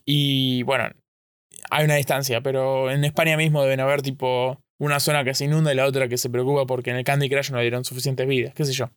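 Argentine man, medium pitch, casual podcast host, rambling and halting, self-correcting, very dry close sound. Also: level tone, fairly bright tone, clear, resonant tone, dry recording.

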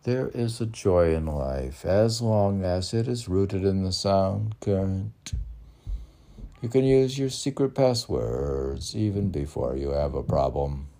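An older man reading his own poetry aloud in a halting manner, mixing recitation with casual asides. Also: low-pitched, animated, mellow. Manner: halting, spells out and repeats words. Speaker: poet reading own work.

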